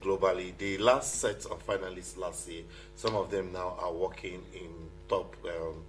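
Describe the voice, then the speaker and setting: A man with a Nigerian accent explaining as a guest in a radio interview, haltingly, repeating phrases. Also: sits low, steady tone, faint music behind, low-quality recording. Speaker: guest, hackathon organiser. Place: radio studio. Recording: clear background noise with hum.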